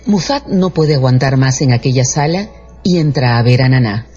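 Lively, expressive male radio drama narrator. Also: medium pitch, normal speed, breathy edge. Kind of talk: scripted dramatic narration of a classic novel for radio. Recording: slightly dull sound with music underneath.

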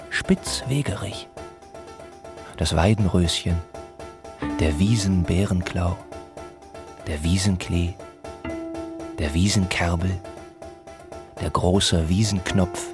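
A man narrating a literary radio play in short, deliberate, measured phrases, as a sober narrator of village life. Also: sits low.

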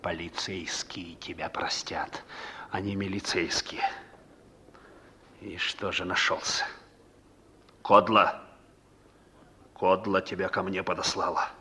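An actor playing a young reformed thief learning to drive, defiant and hot-tempered, gruff and slangy, in a Russian radio drama. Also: male voice, low voice, flat delivery, slow pace, pauses often, bright, forceful tone, a little hiss or hum, exaggerated voice. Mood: guarded, stern. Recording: old radio play recording.

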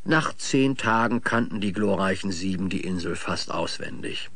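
A man's voice: low voice, normal speed, fairly bright tone, no echo. A man giving scripted narration as the storyteller of a 1970s children's radio play.

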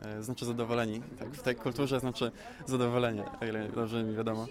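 A man giving casual, reflective interview answers about his creative work, explaining in a halting way. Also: medium pitch, flat delivery. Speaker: audio drama creator being interviewed. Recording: busy crowd behind the voice. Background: crowd chatter.

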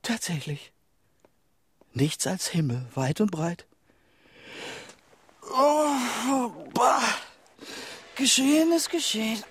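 A man's voice: extremely high pitch, expressive, slow, long pauses, fairly bright tone, breathy edge, heavily acted. An actor playing a placid, bemused man in a German radio play, musing with detached understatement. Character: placid, bemused man, indifferent amid catastrophe.

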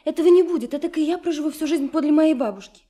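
A woman acting an earnest young girl in a radio drama. Her voice is very high and soft-toned, and she speaks in insistent, impulsive bursts. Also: racing, close to an everyday voice. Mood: distressed, protesting. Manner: emphatic, insistent, interrupting with short exclamations.

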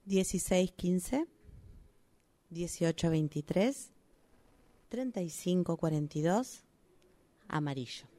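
A woman's voice: lowish voice, animated, takes it slowly, long pauses, mellow, no echo. A woman reciting lists in an acting exercise. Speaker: radio drama workshop participant. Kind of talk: acting exercise, listing numbers and colours.

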